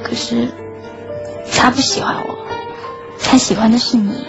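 A woman acts as a lovelorn schoolgirl in a radio drama, with a fairly high voice. She is wistful, earnest and quietly self-effacing as she tells of her heartbreak. The audio is dull and lo-fi, with clear music underneath. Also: steady tone, a little slow. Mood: resigned sadness. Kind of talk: Chinese radio drama acting with inner monologue.

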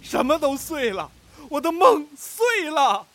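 A man's voice: extremely high pitch, very animated, slow pace, a bit bright, slight background noise, heavily acted. An actor playing a bitter, despairing father in a radio drama, exclaiming in anguish. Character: bitter, despairing father who feels his dreams are shattered.

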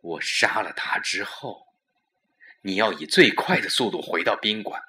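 A man playing a scheming, excited lover who lays out a murder plan in a commanding, emphatic way for an audio drama. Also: on the low side, measured pace, full character voice.

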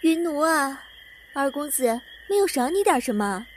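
Boastful young maidservant, acted by a woman, high-pitched and chatty, for a historical audio drama. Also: big pitch swings, normal speed, slight background noise. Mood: showing off. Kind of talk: Chinese audio drama acting.

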